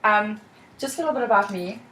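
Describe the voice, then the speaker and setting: A young woman from South Africa with a South African accent, leading a practice counseling session in a calm, measured, reassuring way. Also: mid-range voice, reverberant, slight background noise, rough recording. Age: young adult. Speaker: student counselor.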